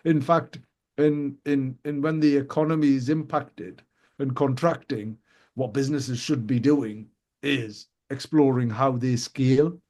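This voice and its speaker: Male radio host, mellow and warm, animated and prone to restarting sentences. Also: mid-range voice. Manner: animated, quick questions, many restarts. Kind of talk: casual business chat on live radio. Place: radio studio.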